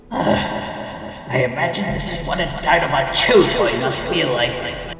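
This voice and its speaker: Sly, needling robot lieutenant, highish and wry, theatrical, long reverb with music behind. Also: male voice, lively intonation. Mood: wry, uneasy. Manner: theatrical, wry, drawn-out teasing. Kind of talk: dramatic comic dub acting.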